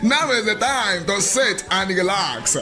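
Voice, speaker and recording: An actor with a Nigerian accent playing a gloating, mocking political schemer in a radio drama, chuckling and drawing words out. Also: male voice, sits high, expressive, music in the background. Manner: chuckling, drawn-out, taunting.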